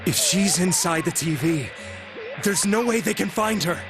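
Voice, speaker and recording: An actor playing an earnest, guilt-ridden delivery man in a video game, speaking in a halting, solemn, confessional way. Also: high-pitched, expressive. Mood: anguished, determined. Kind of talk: dramatic video game voice acting. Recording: music behind the voice.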